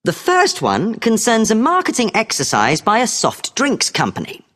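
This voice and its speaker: A British woman delivering a scripted academic lecture as a lecturer, in a measured, explanatory manner. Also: a little high, wildly swooping pitch, fairly bright tone, big-room sound.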